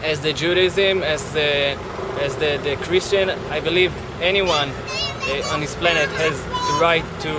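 Earnest man, halting speech, street noise. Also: on the high side. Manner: earnest, halting, searching for words. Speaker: street interviewee. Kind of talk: street interview answers. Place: outdoors on a street at night. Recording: clear street noise behind.

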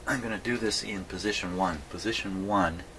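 A man's voice: low voice, steady tone, brisk, pushed and bright. A man giving a casual hands-on demonstration, thinking aloud and muttering to himself as he works. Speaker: host of a radio repair channel. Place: small indoor radio workshop. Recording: distant mic, clear background noise.

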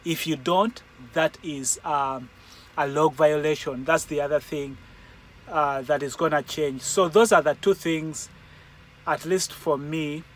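A man in his forties, a truck driver, thinking aloud as he works through a screen in the cab of his parked truck, hesitant and halting. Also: fairly high pitch. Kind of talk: casual vlog walkthrough. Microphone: phone camera held in the cab. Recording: engine and fan noise in a truck cab.

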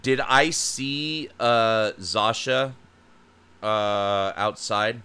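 Dude playing a tabletop rpg, slow and hesitant, super clear bright voice. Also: male voice, on the low side. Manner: hesitant, lots of uh and restarts. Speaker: player. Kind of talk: tabletop game play, in and out of character.

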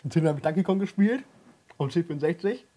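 Guy playing a clueless childish slacker in his 30s, blunt questions, talks fast. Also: a little high, lively intonation, warm tone. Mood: naive, blunt. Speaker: comedic interview guest. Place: small cluttered studio room, indoors.

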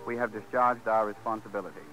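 A man's voice: fairly low pitch, steady tone, fast talker, pushed and bright, soft background music, poor audio. A man announcing in a 1930s radio style. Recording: old radio recording.

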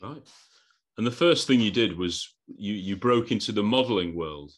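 A man's voice: lowish voice, normal speed. A man in his thirties hosting a podcast interview on a video call, eager, often repeating words and laughing between lines. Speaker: podcast host.

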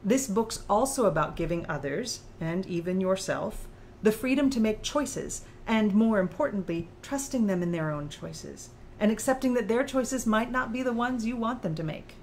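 A woman's voice: medium pitch, animated, moderate pace, darkish tone, a little hiss or hum, close to an everyday voice. A woman playing a composed, insistent female author who mentors in audiobook dialogue with a precise, didactic, challenging manner. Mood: explaining.

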